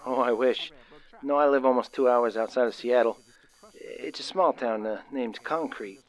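An actor playing a nervous, excitable young working man of the 1930s, stammering and using folksy slang, in a radio drama. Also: mid-range voice, moderate pace, lo-fi sound, natural voice. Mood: awkward, hesitant. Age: young adult. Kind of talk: old-time radio drama acting.

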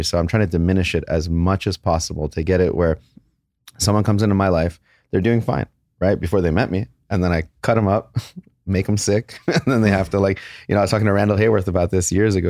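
Blunt low-voiced surgeon, dry mic. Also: male voice, a little mellow, dry recording. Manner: blunt, candid, with crude jokes and laughs. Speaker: plastic surgeon. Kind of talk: casual podcast interview.

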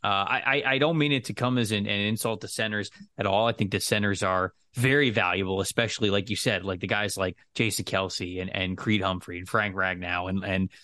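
A man's voice: lowish voice, fairly even intonation, a little fast, few pauses, bright, forceful tone, no room sound. A man co-hosting a sports podcast, talking through football analysis casually and explaining his points with careful clarifications.

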